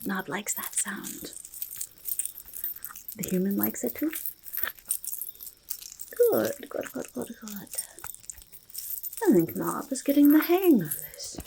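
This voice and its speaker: A woman voicing a curious, friendly forest goblin in a soft ASMR roleplay, speaking haltingly in breathy whispers and creaky murmurs, repeating words. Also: medium pitch, big pitch swings, a little hiss or hum, full character voice, rough recording. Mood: friendly, content. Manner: halting, third-person speech with doubled words. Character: curious, eager, friendly forest goblin who hoards treasure.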